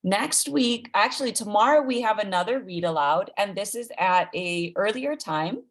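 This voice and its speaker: A woman's voice: medium pitch, expressive, moderate pace. A woman hosting a live online event for children, talking informally and directly to listeners as she makes announcements. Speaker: host of an online read-aloud event.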